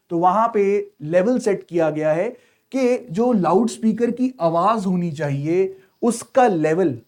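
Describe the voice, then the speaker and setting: This is a man with an Indian accent and a notably high-pitched voice. He lectures like an exam coach, didactic and explanatory. He often sets up a question, answers it himself and checks in with short tags. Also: darkish tone. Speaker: civil services exam teacher.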